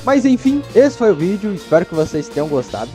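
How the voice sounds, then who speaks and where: A young man with a Brazilian accent talks as a video commentator. His voice is soft-edged and a little high, and he rattles along quickly. The delivery is casual, slangy and enthusiastic. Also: big pitch swings, faint music behind. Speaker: video commentator reviewing a cartoon.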